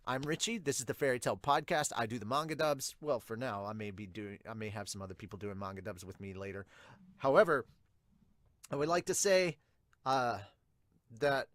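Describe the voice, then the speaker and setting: A man's voice: medium pitch, fairly bright tone, no room sound, studio quality. A man hosting a podcast in casual review chat, emphatic and given to repeating himself. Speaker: podcast host and manga dubber.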